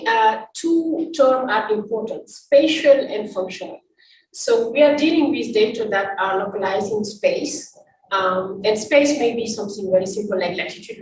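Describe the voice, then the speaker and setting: A woman from Senegal presents research slides, pausing often and restarting her sentences. She sounds some way from the mic in a roomy space, with a faint hiss underneath. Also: mid-range voice. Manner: halting, many fillers and restarts. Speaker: invited academic speaker. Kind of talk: conference talk with slides. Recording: roomy, distant, faint noise.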